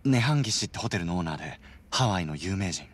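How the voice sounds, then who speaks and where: An actor playing a helpful young tech researcher in a video game, explaining politely and informally. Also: male voice, low voice, expressive, fast talker. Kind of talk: Japanese video game cutscene acting.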